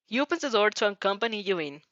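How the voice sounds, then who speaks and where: A woman with a mid-pitched voice reads a story aloud in an expressive, informal way. She stumbles over sentences and breaks off to comment as herself. The sound is dry and a little dull. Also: quick pace, dry recording. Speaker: fan fiction reader reacting as she reads. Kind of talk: animated story read-aloud with casual reactions. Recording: slightly dull, dry recording.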